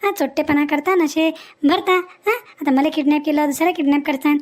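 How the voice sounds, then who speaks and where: Woman acting a feisty village woman, high voice, rapid scolding, cartoon comedy. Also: steady tone, close to an everyday voice. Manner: scolding, defiant, talkative. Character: feisty sharp-tongued kidnapped village woman.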